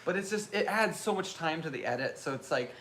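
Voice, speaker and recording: Loud-voiced man chatting casually. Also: a little high, expressive, fairly quick, a little hiss or hum. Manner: loud voice, rambling and self-mocking. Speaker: channel host. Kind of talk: casual live Q&A chat.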